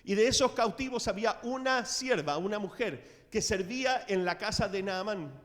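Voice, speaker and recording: A middle-aged man preaching a sermon in a church, telling the story with dramatic swings in loudness. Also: high-pitched, normal speed. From Latin America. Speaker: pastor. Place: church sanctuary. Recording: reverberant church hall.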